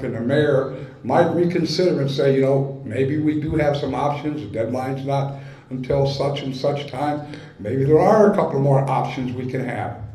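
A man's voice: mid-range voice, mellow. Older Midwestern man in an echoey hall. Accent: American Midwestern. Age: 60s or older. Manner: folksy, rambling, with halting pauses. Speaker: resident speaking at public hearing. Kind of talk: informal public comment speech. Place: large indoor school cafeteria-style hall. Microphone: microphone on podium, recorded in room. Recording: echoey hall through podium microphone.

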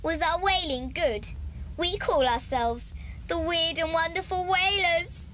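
A woman's voice: very high voice, unhurried, sharp tone, slight background noise, exaggerated voice. A woman playing a cheeky, mischievous, wheedling little girl in a children's audio drama. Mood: gloating.

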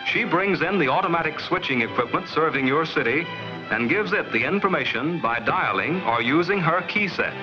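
1950s film narrator, dull vintage soundtrack. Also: male voice, fairly high pitch, expressive, music in the background. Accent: American. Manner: measured, explanatory, clearly enunciated.

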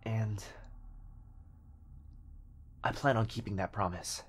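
A man's voice: lowish voice, slow pace, breathy edge, voice pushed up. A man playing an earnest young boyfriend in an ASMR roleplay, protective and tender, speaking with urgent reassurance. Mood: tender, reassuring.

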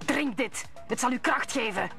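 A woman's voice: fairly high pitch, moderate pace, gravelly, music in the background. A woman playing a cunning, villainous noblewoman posing as a friend in an old Dutch radio play. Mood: urgent, deceitful.

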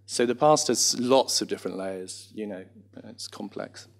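A man's voice: on the low side, normal speed, vocal fry. A middle-aged man speaking reflectively and discursively on a panel, self-deprecating, into a handheld microphone. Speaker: panellist, reminiscence arts practitioner and former actor. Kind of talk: informal panel talk. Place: small theatre stage in front of an audience.

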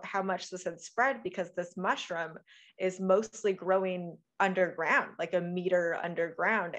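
A woman in her thirties from Oregon, a guest author chatting casually on a video call, often restarting her sentences. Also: on the low side. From Oregon, USA. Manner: chatty, many restarts and fillers. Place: home room with bookshelves. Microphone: video call webcam.

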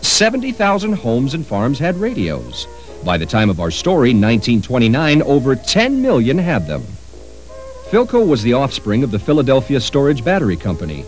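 A man narrates a history documentary in a lively, varied delivery. His pitch moves around a good deal, and music plays behind him.

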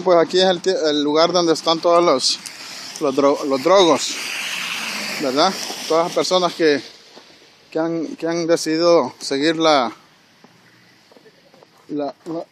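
A man, narrating a casual walking vlog outdoors on a phone, chatty and speaking straight to his viewers. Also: on the high side. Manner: chatty, addresses viewers directly, frequent "¿verdad?". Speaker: vlogger walking and filming. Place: snowy city street outdoors. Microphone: handheld phone camera. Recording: outdoor street noise, passing traffic.

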